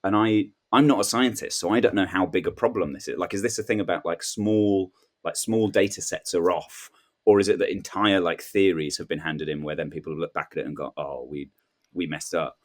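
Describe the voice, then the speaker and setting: Male comedian guest, low register, casual, tentative, questioning delivery with acted-out asides. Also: steady tone, fairly quick. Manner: hesitant, questioning, acts out imagined remarks. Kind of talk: casual comic chat.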